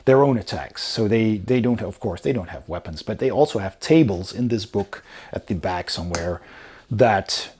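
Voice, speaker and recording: A man's voice: on the low side, expressive, normal speed, a little mellow, slightly rough. A man reviewing a game rulebook in a casual, explanatory way, talking informally as he goes through it.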